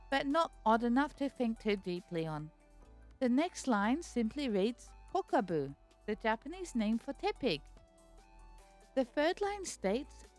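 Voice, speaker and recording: Woman reading her script, kinda high voice, calm and explaining stuff, faint music behind. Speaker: video host. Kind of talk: scripted narration.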